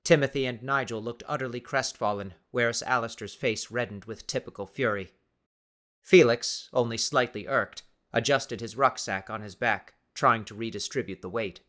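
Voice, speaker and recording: A man reading dramatic scripted narration for an audiobook in a deliberate, theatrical way. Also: mid-range voice, fairly bright tone.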